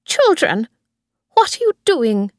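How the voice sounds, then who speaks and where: A woman's voice: sits high, big pitch swings, slow pace, no echo, clean studio sound, voice pushed up, heavily acted. A woman voicing a stern elderly grandmother in a storybook, kindly but bossy, with a firm, admonishing manner. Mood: angry, commanding.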